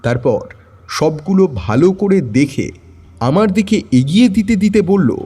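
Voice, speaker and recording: A man's voice: sits high, animated, measured pace, mellow, breathy edge. A man narrating a scripted first-person detective story.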